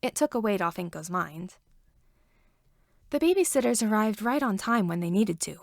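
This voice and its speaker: A woman's voice: mid-range voice, animated, normal speed, creaky, dry recording, clean studio sound. A woman reading a story aloud as scripted narration. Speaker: fan fiction reader.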